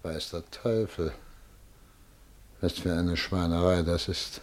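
An actor playing a vain, dismayed petty official, muttering in stunned disbelief in a radio drama. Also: male voice, deep voice, fairly even intonation, slow pace, a little mellow. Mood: dismayed, bewildered. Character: dismayed, vain petty official lamenting his vanished nose.

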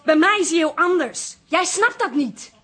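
High-voiced, defensive young student. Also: female voice, expressive, moderate pace, fairly bright tone. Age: young adult. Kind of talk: radio drama dialogue.

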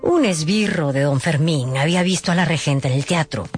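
A woman's voice: sits low, a little fast. A woman narrating a dramatised story, with wry, knowing asides to the listeners. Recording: faint music behind the voice.

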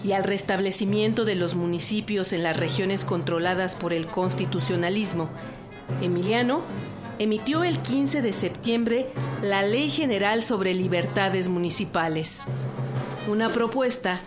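A woman's voice: medium pitch, measured pace, over music. A woman giving scripted narration for a historical radio drama.

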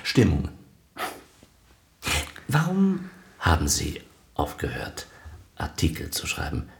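Casting: hesitant, obliging culture administrator in radio drama, creaky voice, slow delivery. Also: male voice, rumbling low, wildly swooping pitch, full character voice. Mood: polite, halting. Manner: halting, polite, deferential. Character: hesitant, eager-to-please arts foundation official and hobby painter. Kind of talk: radio play acting.

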